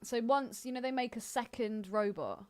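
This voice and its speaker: A woman's voice: on the high side, moderate pace. A woman in her twenties co-hosting a podcast in informal chat, often raising questions. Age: 20s. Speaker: podcast co-host. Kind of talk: casual chat.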